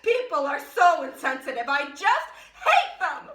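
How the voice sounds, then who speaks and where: A woman playing a frazzled, unhinged woman in a volatile theatrical monologue, bitter about life and swinging between hushed confiding, sobbing and screaming. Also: sits high, very animated, slow, exaggerated voice. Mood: tearful, bitter. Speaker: actress performing a one-woman monologue. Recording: roomy echo, distant microphone.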